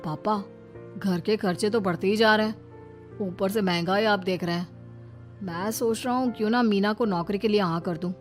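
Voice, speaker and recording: A woman's voice: mid-range voice, normal speed, soft background music, close to an everyday voice. A woman voicing a young husband in a cartoon family story, easily swayed, grudging and casual. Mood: worried.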